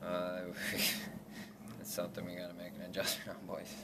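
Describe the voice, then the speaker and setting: Low, flat-voiced young man. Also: gravelly. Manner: halting, many restarts and fillers, laughs self-consciously. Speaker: lacrosse player. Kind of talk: post-game media scrum. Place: press backdrop room indoors. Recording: noisy room ambience.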